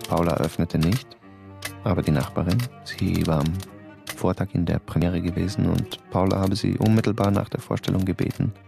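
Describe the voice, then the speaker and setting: An actor playing a shaken stage actor who reads his letter aloud in a measured, low-key way. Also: vocal fry, warm tone. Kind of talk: letter read as a narrated voice-over. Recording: dry close studio recording.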